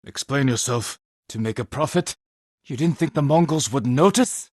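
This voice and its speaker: An actor playing a stern, honorable young samurai in a video game, speaking low and husky in a restrained, measured, terse way. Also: male voice, a little mellow, no echo, clean studio sound, lightly acted. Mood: raised, urgent. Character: stern, honorable young samurai warrior, weary but resolute. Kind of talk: dramatic video game acting.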